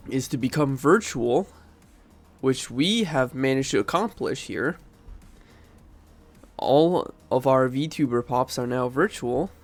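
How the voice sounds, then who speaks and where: A man with a stuffy nose from a cold, giving casual commentary while playing a strategy game and thinking aloud as he goes. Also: mid-range voice. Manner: thinking aloud, rambling, self-correcting. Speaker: game streamer.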